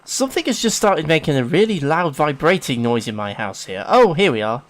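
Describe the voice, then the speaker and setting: A man's voice: fairly high pitch, sing-song, fairly quick. An English man with a sniffly hay-fever nose gives casual, chatty, self-deprecating let's play commentary as a gaming host. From England.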